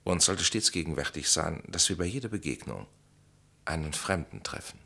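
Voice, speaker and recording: An actor playing an enigmatic, knowing stranger in a German radio drama, speaking in grave, measured, philosophical pronouncements with authority. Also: male voice, rumbling low, very animated, vocal fry, bright, forceful tone, heavily acted. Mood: grave, instructive.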